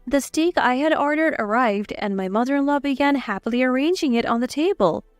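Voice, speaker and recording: Female narrator for scripted family drama, high smooth voice, dry close sound over music. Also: animated, fairly quick, no echo. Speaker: story narrator. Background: background music.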